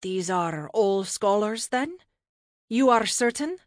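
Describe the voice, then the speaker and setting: An eager, bookish young lad played by a woman narrator from a fantasy audiobook. He is excitable and earnest with a sassy streak, and his pitch leaps around in a close, dry recording. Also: slow pace, no echo. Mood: earnest, curious.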